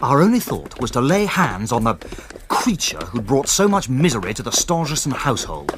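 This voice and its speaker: An actor playing a gentleman who narrates a detective tale in a radio drama, in a literary, dramatic manner. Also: mid-range voice, very animated, moderate pace, noisy background. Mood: dramatic, suspenseful. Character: gentleman narrator recounting his detective adventure. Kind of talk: scripted first-person radio drama narration.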